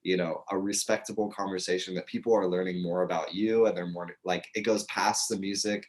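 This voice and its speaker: A man's voice: low-pitched, steady tone, brisk. A man hosting a casual music interview, laid-back and loose, saying "like" and "dude" a lot.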